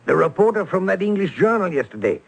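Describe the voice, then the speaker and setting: A puzzled, testy partner in crime in a radio play. The voice is gravelly and rough, with wide, questioning swings. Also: male voice, high-pitched, very animated, fast talker, exaggerated voice. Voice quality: gravelly, husky. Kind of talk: old-time radio drama acting.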